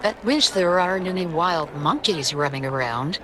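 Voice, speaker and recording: A woman playing a bossy, sharp-tongued wife in 1940s-style radio comedy. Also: lowish voice, lively intonation, normal speed, noisy background, close to an everyday voice. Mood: dry.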